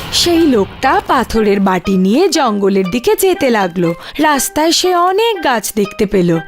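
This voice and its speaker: A woman narrating a scripted cartoon fairy tale as its storyteller. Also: on the high side.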